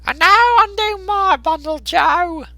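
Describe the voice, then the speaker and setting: A man voicing a brazen, callous working-class charwoman in a dramatised Victorian storybook, with a coolly unashamed, drawn-out way of scoffing. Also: very high-pitched, pushed and bright, no room sound, pitched above the performer's own voice, exaggerated voice. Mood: brazen, gloating.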